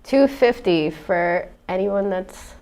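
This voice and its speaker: Woman co-host urging bids, reads donations, medium pitch. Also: lively intonation, takes it slowly, darkish tone. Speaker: auction co-host. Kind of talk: livestream charity auction.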